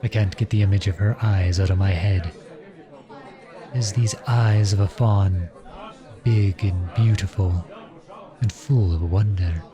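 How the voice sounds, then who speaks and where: A man playing an earnest, lovestruck outlawed prince turned soldier in an audio roleplay drama, speaking softly and with measured pauses. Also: fairly low pitch, natural voice. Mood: shy, wistful.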